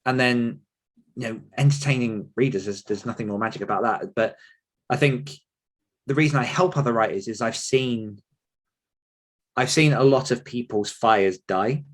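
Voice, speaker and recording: A man's voice: medium pitch, moderate pace. A man speaking earnestly and conversationally as an author coach being interviewed.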